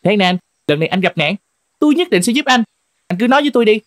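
A woman's voice: medium pitch, very animated, fast talker, dry recording, studio quality, close to an everyday voice. A woman voicing a crafty, friendly fox in a cartoon folk tale, chatty and confident. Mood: confident, reassuring. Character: crafty, friendly, self-assured fox, loyal helper. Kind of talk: animated folk tale dialogue. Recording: music under the voice.

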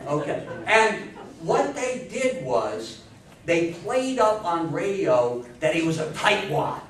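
Older man in his 60s, lecturer, raised pitch, enthusiastic, exclamatory, recorded from a distance, audience noise. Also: big pitch swings, slow pace. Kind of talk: animated informal talk to an audience. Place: indoor meeting room before a seated audience. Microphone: distant camera mic. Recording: echoey room, distant mic, audience noise.

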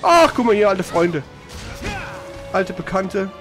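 A man's voice: high voice, very animated, slow, a bit bright, faint music behind. A man doing casual gameplay commentary in colloquial, slangy language with some mild swearing. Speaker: let's play streamer.

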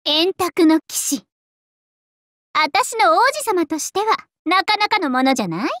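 Super high girly anime game dragon princess, vain and playful, voice swooping everywhere, dry recording. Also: expressive, moderate pace, a bit bright, no echo. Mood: haughty, teasing. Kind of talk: Japanese anime game character voice lines.

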